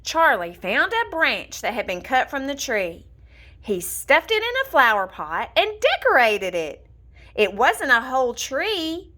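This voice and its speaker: A woman reading a children's storybook aloud in an expressive, deliberate storytelling manner. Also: a little high. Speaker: storybook reader. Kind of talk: scripted children's story narration, read aloud.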